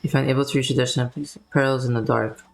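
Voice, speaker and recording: A woman's voice: extremely low pitch, steady tone, racing, vocal fry. A woman giving casual gameplay commentary as a streamer, musing aloud in an informal way. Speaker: video game streamer. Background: faint game music.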